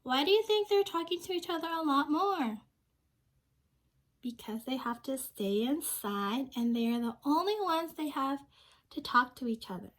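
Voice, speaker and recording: A woman's voice: sits high, animated, normal speed, fairly bright tone. A young woman reading a storybook aloud to children, speaking simply and expressively and prompting her listeners with questions. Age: young adult.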